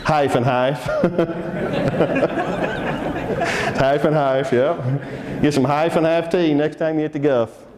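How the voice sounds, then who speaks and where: Texan man, Southern accent, seminar presenter, upbeat and jokey, slightly raised pitch. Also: big pitch swings, drawn-out words, long pauses, long reverb tail, background noise. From Texas. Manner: upbeat, coaxing the audience, joking. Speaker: seminar speaker. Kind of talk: interactive business seminar talk.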